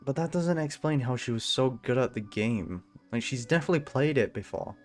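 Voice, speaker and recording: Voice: medium pitch, animated, a little fast, darkish tone. A streamer giving casual live game commentary in short, informal, muttered reactions. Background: game music.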